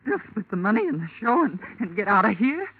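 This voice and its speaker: A woman's voice: medium pitch, sing-song, a little fast. An actress playing a desperate, hard-edged female killer in a 1940s radio crime drama. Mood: panicked, pleading. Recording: muffled, noisy old radio recording.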